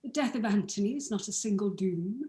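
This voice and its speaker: A woman's voice: medium pitch, a little fast, mellow, lightly acted. A woman playing a commanding ruler in a Shakespearean radio play. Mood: stern, stunned. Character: Octavius Caesar, commanding male ruler, shaken. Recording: echoing, roomy sound.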